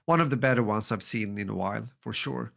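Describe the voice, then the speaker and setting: A man's voice: lowish voice, fast, creaky, a bit bright. A man co-hosting a casual podcast, easygoing and hesitant. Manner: hesitant, many "uh" fillers and restarts, easygoing. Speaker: podcast co-host.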